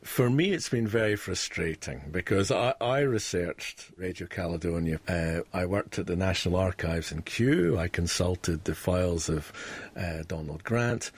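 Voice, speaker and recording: Male academic, deep register, slightly raspy, explanatory interview talk, documentary expert. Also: measured pace.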